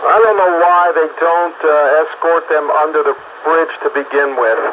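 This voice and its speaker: A man with a slightly high voice chats casually over a radio link. The sound is dull and lo-fi, buried in very loud noise. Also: steady tone, normal speed. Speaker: radio round-table participant.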